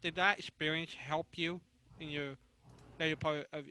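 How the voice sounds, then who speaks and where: A man's voice: on the high side, fairly even intonation, normal speed, bright, forceful tone, smooth. A man co-hosting a panel, asking questions casually and keeping track of time. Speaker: panel co-host. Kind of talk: casual interviewing.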